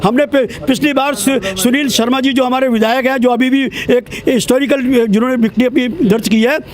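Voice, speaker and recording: A man's voice: very high-pitched, a little fast. Indignant man in his seventies. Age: 70s. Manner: indignant, insistent, repeats himself. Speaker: protest spokesman. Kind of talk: street interview. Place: outdoors at a protest.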